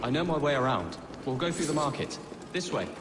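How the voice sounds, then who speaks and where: An actor playing a naive young Saxon nobleman in a video game, earnest, hesitant and excitable. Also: on the high side, brisk. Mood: eager. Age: young adult. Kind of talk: video game drama acting. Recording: long reverb, noisy ambience behind.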